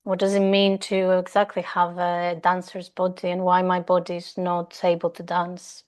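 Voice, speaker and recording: A woman from Greece whose first language is Greek, speaking English as a guest in a reflective, measured conversation. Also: lowish voice, monotone, darkish tone. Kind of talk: casual conversation.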